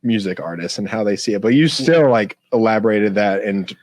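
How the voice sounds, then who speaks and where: A man's voice: lowish voice, animated, fairly quick, darkish tone, no echo. A man co-hosting a casual podcast, talking informally with stop-start, repeated phrases. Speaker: podcast co-host.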